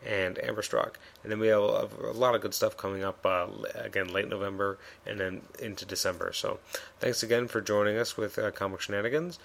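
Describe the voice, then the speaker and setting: A man's voice: on the low side, fairly even intonation, brisk, gravelly, a bit bright. A man hosting a solo comic review podcast, talking casually with many fillers and restarts, his voice a bit worn.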